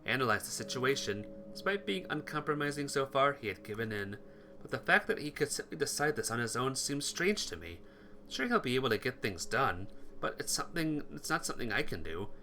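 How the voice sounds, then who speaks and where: A man's voice: mid-range voice, sing-song, a little fast, bright, forceful tone, a little hiss or hum. A man reading visual novel text aloud as dramatic, earnest, emotive story narration for a playthrough.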